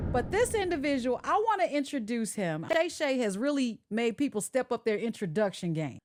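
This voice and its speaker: A woman hosting a radio talk show in a chatty, conversational way, speaking directly to her listeners. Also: sits high.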